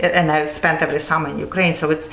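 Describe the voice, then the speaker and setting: A woman who grew up in Russia, talking earnestly as an interview guest, often halting and restarting. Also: low voice, fairly even intonation, a bit bright. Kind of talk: casual conversation. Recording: echoey room with faint noise.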